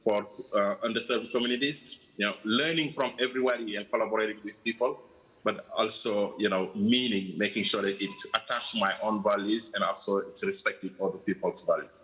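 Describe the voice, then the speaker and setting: Bright-toned, earnest low male guest. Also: fairly even intonation, fairly quick, low-quality recording. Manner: earnest, reflective, frequent "you know". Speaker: guest from a changemaker organisation. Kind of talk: interview answers.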